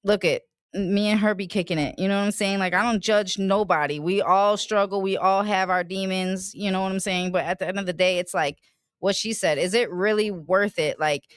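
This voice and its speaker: A woman hosting a casual podcast, talking in a relaxed, slangy way and laughing easily. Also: mid-range voice, fairly quick, clean tone, no room sound, clean studio sound. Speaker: podcast host.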